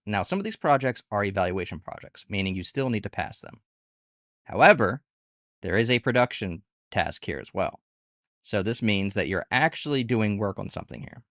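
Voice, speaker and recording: A man's voice: lowish voice, vocal fry, fairly bright tone, no room sound, clean studio sound. A man hosting a conversational review and tutorial, speaking casually and candidly and posing rhetorical questions. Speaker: video host reviewing online gig work platforms.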